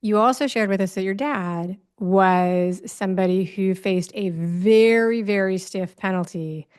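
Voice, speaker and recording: This is a woman with a middle-pitched voice, hosting an interview. She talks in a chatty, conversational way with a light note of amusement, and adds explanatory asides for her listeners. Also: a little slow, darkish tone, smooth. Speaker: interview host.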